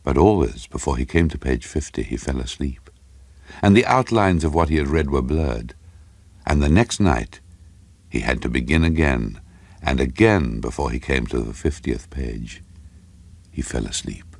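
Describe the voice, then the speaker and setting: Very deep-voiced man narrating a ghost story. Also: lively intonation, normal speed, vocal fry, breathy edge, no room sound. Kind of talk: dramatic scripted narration of a ghost story, radio play.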